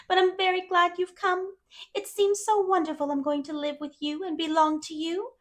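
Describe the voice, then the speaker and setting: A woman's voice: very high voice, fairly even intonation, normal speed, voice pushed up. A woman voicing a talkative, dreamy eleven-year-old orphan girl with effusive wonder and dramatic emphasis, in a storybook reading. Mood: eager, hopeful.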